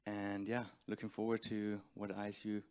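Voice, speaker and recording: A man's voice: on the low side, monotone, moderate pace, noisy background. A man giving a casual self-introduction as an incoming student. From Austria. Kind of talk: short self-introduction at a ceremony.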